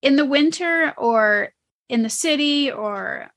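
A woman's voice: sits high, lively intonation, slow pace, fairly bright tone. A woman co-hosting a podcast in an informal, conversational style. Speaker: podcast co-host. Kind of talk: casual conversation.

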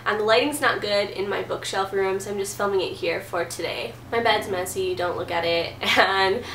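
A woman's voice: mid-range voice, moderate pace, a bit bright, recorded from a distance. A young woman in her twenties talks casually from her bedroom, her voice stuffy from a cold. She is recorded by a camera mic set some way off, so the sound is roomy with a faint hiss. Her talk is chatty and full of "like" and "um". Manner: chatty, lots of "like" and "um". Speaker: book vlogger. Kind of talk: casual chat. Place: bedroom, indoors. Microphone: camera mic at a distance.

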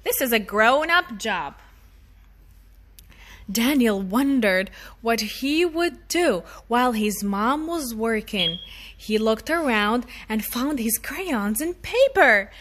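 A woman reading a children's storybook aloud in an expressive style as the storytime reader. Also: a little high, slow.

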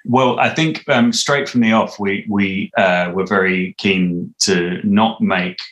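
Deep guy, thoughtful interview chat, roomy echo. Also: steady tone, slow pace, a bit bright, dull audio. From United Kingdom. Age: 30s. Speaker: interview guest, composer. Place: living room, indoors. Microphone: video call, computer mic at arm's length. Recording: echoey room.

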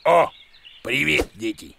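A man's voice: on the high side, slow, creaky, very noisy. A man voicing a boastful, cowardly bearded creature who plays teacher in a children's cartoon, pompous and theatrical.

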